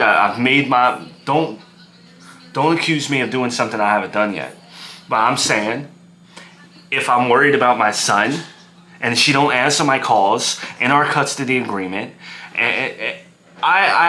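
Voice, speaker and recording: A man's voice: medium pitch, sharp tone, a little hiss or hum. A man playing an aggrieved, defensive divorced young father, agitated, repeating himself and stammering over his words. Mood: defensive, pleading.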